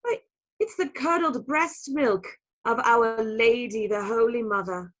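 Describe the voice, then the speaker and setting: A woman's voice: fairly high pitch, unhurried, close to an everyday voice. Woman playing prim, earnest nun. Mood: proud. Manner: prim, formal, firm. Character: Sister Tabitha, earnest, proud, stern nun. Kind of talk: radio play acting.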